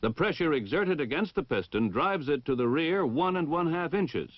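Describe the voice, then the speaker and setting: A man's voice: fairly high pitch, lively intonation, no echo. An American man reading a formal, precise instructional narration in the style of a 1960 military training film. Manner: formal, precise, measured enunciation. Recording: dull 1960 film soundtrack.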